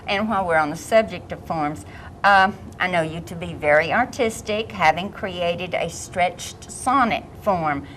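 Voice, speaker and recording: A woman interviewing a poet, asking questions in a warm, encouraging, chatty way. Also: on the low side, a little slow, poor audio. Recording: faint background noise.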